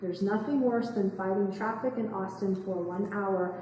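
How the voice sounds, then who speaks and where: A woman, giving a quick, chatty seminar talk and asking the room questions. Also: mid-range voice, heavy reverb, big-room sound, far from the mic, poor audio. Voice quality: soft voice. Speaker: real estate investing speaker. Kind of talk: live seminar talk to a small audience. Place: meeting room, indoors. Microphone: far camera.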